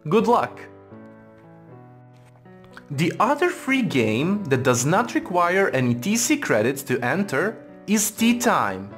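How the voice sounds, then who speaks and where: Male tutorial narrator, scripted, formal and measured, animated pitch movement, background music. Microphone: voice-over for screen recording.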